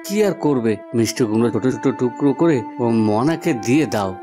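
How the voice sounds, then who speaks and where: A man's voice: mid-range voice, fairly quick, music in the background, natural voice. A man voicing a humble, good-natured young village son, earnest and obliging, in a cartoon fairy tale. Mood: resigned.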